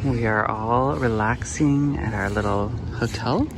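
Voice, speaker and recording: A man's voice: mid-range voice, slow pace, background noise. A man in his thirties vlogging a family trip outdoors, talking in a gushing, playful, chatty way into a handheld camera. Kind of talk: casual travel vlog. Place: outdoors at a sunny cruise port.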